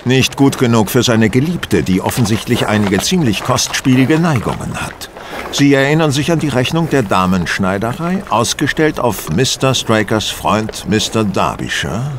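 An actor playing a self-assured, coolly superior detective in a German radio play, explaining with courteous precision and theatrical flair. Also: male voice, mid-range voice, expressive, moderate pace, background noise, close to an everyday voice. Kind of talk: German audio drama acting.

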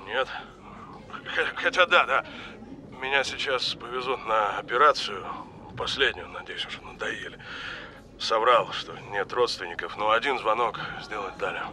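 An actor playing an ailing, regretful rock musician father, halting and urgent, in an audio drama. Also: fairly low pitch, sharp tone. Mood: nervous, earnest.

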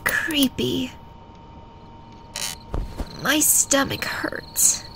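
A woman's voice: high-pitched, very slow, creaky, noisy background, exaggerated voice. A woman voicing a timid, despairing bullied schoolgirl in an anime-style dub, faltering as she speaks.

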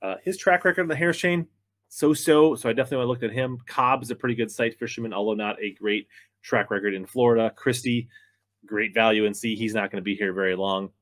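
A man's voice: fairly low pitch, fast, a bit bright, no echo. An American man in his thirties giving sports commentary and picks to camera as the host. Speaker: fantasy fishing pundit and host. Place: small indoor room, seated at a desk.

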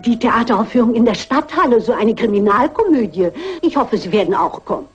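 Dull, lo-fi radio crime drama audio of a mature lady sleuth played by a woman. Her voice sits fairly high and she speaks with dry, precise dignity, shrewd and composed throughout. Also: lively intonation, a little fast, faint music behind, lightly acted. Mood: dry, polite.

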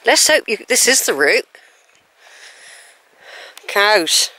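A woman's voice: medium pitch, very animated, slow pace, sharp tone, husky. A woman chatting to the camera as she walks a hillside trail, musing aloud and questioning which way to go, with sighs between her remarks. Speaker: hiking vlogger. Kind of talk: chatty talk to camera while walking. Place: outdoors on a hillside countryside trail. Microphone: handheld camera.

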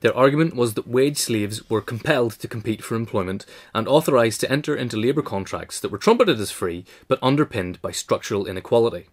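A man's voice: medium pitch, brisk, hardly any pauses. A man narrating a scripted essay as its reader.

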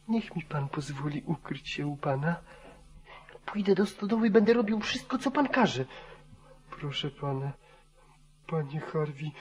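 Actor as a frightened, humble farmhand, higher-pitched man. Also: a little mellow, dull audio, natural voice. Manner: humble, pleading, repetitive. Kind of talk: 1940s Polish radio drama acting.

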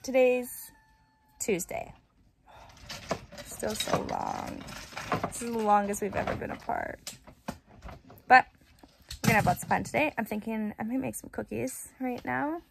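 A woman in her thirties with a highish voice talks in a casual, candid vlog style. Her wording is informal and a little playful, like a mother chatting at home. The handheld phone recording has clear background noise. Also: huge pitch swings, unhurried, pauses often. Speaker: vlogger and mother. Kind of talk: casual family vlog chat. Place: varies: car, small home, outdoor yard. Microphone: phone at arm's length or propped nearby.

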